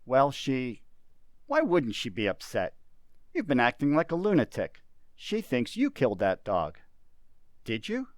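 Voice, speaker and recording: A mid-pitched, defensive adulterer in a radio play, acted by a man. He blusters with lively swings of pitch, indignant and frightened, in a dry recording. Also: measured pace, long pauses, dry recording. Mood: uneasy. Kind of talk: radio drama acting.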